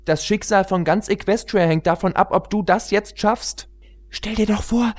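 A man's voice: high voice, normal speed, pitched above the performer's own voice, lightly acted. A man voicing an earnest young female unicorn in a storybook, pressing and instructive. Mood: urgent.

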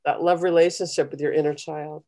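A middle-aged woman with a low, mellow voice talks on a video call. She coaches conversationally and gives encouraging, step-by-step meditation instructions. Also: little pitch movement, fairly quick. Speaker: guest leading a guided meditation. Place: small home room, indoors. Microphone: computer video call.

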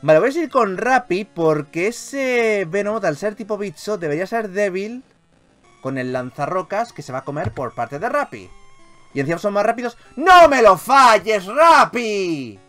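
Animated, higher-voiced Spanish gamer guy. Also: normal speed, soft background music. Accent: Castilian Spanish from Spain. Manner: animated, expressive, swears freely. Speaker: gaming YouTuber. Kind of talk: excitable let's play commentary.

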